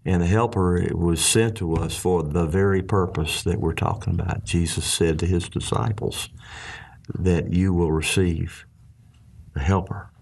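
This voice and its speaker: A very low, gravelly man's voice with a Southern US accent and a husky edge. He talks in an earnest, folksy way and often catches himself and starts a phrase over. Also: fairly even intonation, measured pace, darkish tone. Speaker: ministry leader. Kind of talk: casual faith discussion.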